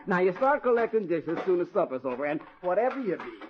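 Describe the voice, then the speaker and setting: An actor playing a bossy but good-hearted hotel head waiter with folksy, needling talk, in an old-time radio drama. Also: male voice, sits high, very animated, fast talker, background noise. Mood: brisk, instructing. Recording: muffled vintage radio recording.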